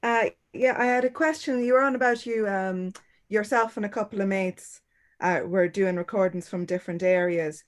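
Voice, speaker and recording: Woman, mid-pitched, asking questions. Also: fairly even intonation, moderate pace. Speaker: workshop participant. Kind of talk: informal questioning.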